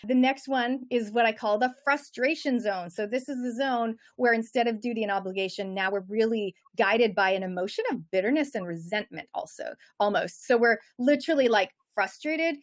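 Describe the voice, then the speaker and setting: A woman's voice: fairly high pitch, normal speed, few pauses. A middle-aged woman with a Canadian accent, a coach talking energetically and chattily as a guest in an interview. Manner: energetic, chatty, many fillers. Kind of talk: casual interview chat.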